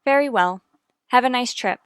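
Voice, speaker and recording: A woman's voice: a little high, moderate pace, clean tone, no echo, lightly acted. An actress playing an anxious but determined young married mother in a vintage radio soap, speaking formally and politely in a dry recording. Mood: guarded, cool.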